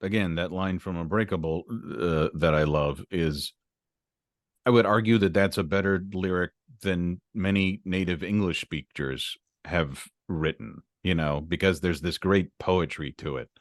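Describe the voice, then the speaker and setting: A man's voice: sits low, a little slow, no room sound, studio quality. A man hosting an interview, asking curious, thoughtful questions and affirming his guest. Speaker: interview host.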